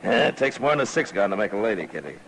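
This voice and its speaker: An actor playing a steady, authoritative frontier marshal in an old radio western, laconic, firm and dryly wry. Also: male voice, on the low side, very fast. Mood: wry, amused. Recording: noisy vintage radio recording.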